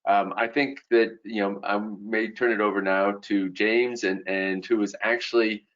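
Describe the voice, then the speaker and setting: A man moderating a webinar panel, speaking haltingly and repeating words as he goes. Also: fairly low pitch, steady tone, fairly bright tone. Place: online webinar. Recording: dull, lo-fi webinar audio.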